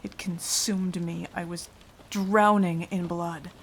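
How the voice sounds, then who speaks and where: A woman acts out a remorseful female vampire in an ASMR roleplay. Her lowish voice moves slowly and haltingly, often sinking into a hushed whisper. Light rain falls behind her. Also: steady tone, a little hiss or hum. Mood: anguished, ashamed.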